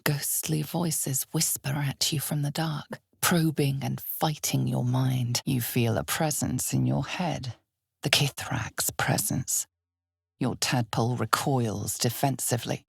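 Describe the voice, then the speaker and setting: A grave, ominous female narrator for a dark fantasy video game. Her voice sits very low with a gravelly fry at its edges. She delivers each scripted line slowly and dramatically, with measured weight. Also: dry recording, studio quality, exaggerated voice.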